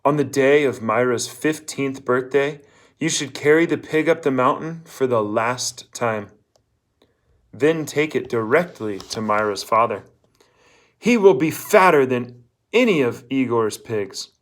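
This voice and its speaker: A man's voice: mid-range voice, expressive, unhurried, natural voice. Storybook character, old woman voiced by a man, blunt, bossy, emphatic, fortune-teller giving orders. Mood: instructing. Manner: emphatic, instructing, asks rhetorical questions.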